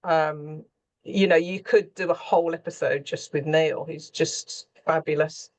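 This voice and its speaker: A woman's voice: low-pitched, fairly even intonation, a little slow, darkish tone. A woman speaking off the cuff as a scriptwriter in a panel conversation, often searching for her words.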